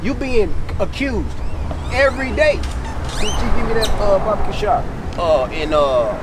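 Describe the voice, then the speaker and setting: A man with a high voice and an American accent preaches outdoors over loud street and traffic noise. He speaks colloquially, exhorting his listener and hammering phrases by repeating them. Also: lively intonation, unhurried. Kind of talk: impromptu street sermon on scripture.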